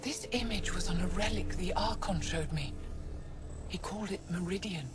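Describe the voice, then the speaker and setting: A woman's voice: fairly low pitch, moderate pace, loud music behind the voice, close to an everyday voice. A woman playing a grave, knowledgeable middle-aged alien professor in a video game. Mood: grave, urgent.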